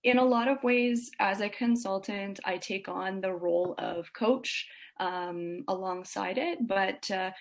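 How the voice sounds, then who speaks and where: A woman's voice: mid-range voice, measured pace. A woman speaking as an interview guest, explaining things in an earnest, conversational way. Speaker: sleep consultant, podcast guest.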